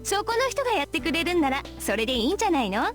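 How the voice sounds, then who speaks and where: A woman's voice: sits high, sing-song, very fast, faint music behind. A woman playing an offhand, lazy teenage schoolgirl in a Japanese visual novel, casual and dismissive.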